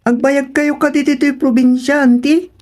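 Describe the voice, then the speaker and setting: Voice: sits high, steady tone, moderate pace, darkish tone, close to an everyday voice. A performer with an Ilocano accent playing an eager, respectful young person from the provinces in a radio drama. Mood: excited, grateful. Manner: polite, eager to please. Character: eager, respectful provincial youth, polite to elders.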